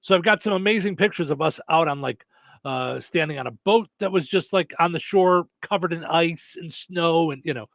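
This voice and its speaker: A man's voice: fairly high pitch, moderate pace, creaky, fairly bright tone, no room sound. A man hosting a radio show in casual chat, a comedian telling rambling stories full of fillers and restarts. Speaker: stand-up comedian.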